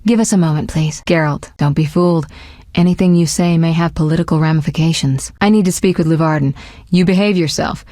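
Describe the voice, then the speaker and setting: A woman's voice: low-pitched, brisk, warm tone, no echo, studio quality, natural voice. A woman voicing a self-assured, sly sorceress in a video game, speaking in poised, articulate, formal phrases with a teasing edge. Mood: guarded, businesslike. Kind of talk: scripted video game dialogue.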